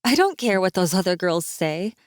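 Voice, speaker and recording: A woman's voice: on the low side, sing-song, normal speed, no room sound. A woman acts out a young human girl in a fantasy tale. Her delivery is sincere and firm, that of a kind, loyal friend who will stand her ground. The recording is close and dry.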